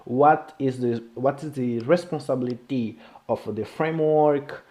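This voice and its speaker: Mellow-voiced man with non-native English accent. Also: medium pitch. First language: not English. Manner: halting, repetitive, often asks "okay?". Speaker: programming video creator. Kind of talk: informal technical tutorial.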